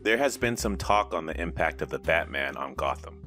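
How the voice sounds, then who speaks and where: A man plays a self-deprecating newspaper reporter with a sly streak in an audio drama. His voice is low and his delivery polite and unhurried, with music clearly playing underneath. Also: lively intonation, pushed and bright, exaggerated voice. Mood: businesslike.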